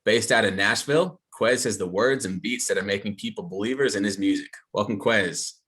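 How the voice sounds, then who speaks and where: Bright-voiced, slow-talking podcast host. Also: male voice, fairly low pitch. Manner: friendly, slow, measured questions. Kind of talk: interview questions.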